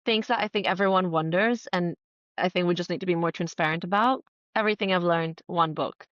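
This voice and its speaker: A woman from Greece with a lowish voice, chatting as a podcast guest. She is earnest and enthusiastic, leaning on emphatic words in a casual, conversational flow. The sound is close and dry but slightly dull. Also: brisk, a bit bright, no room sound. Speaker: podcast guest, writer and entrepreneur. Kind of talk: casual conversational interview answers.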